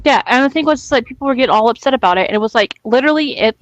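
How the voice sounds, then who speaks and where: A woman's voice: a little high, animated, very fast, dull audio. A woman chatting casually and emphatically, giving her opinions in an informal way and swearing freely.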